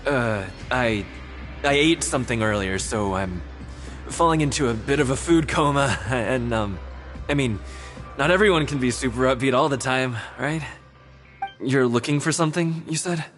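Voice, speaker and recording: A man's voice: medium pitch, moderate pace, fairly bright tone, soft background music, natural voice. An actor playing a big nervous male club member who stammers and hesitates while faking cheer.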